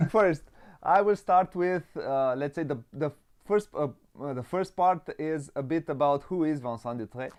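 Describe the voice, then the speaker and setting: A man hosting a board game interview show, cheerful and hesitant, pausing often on fillers. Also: a little high, a little mellow. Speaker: podcast host. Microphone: video call.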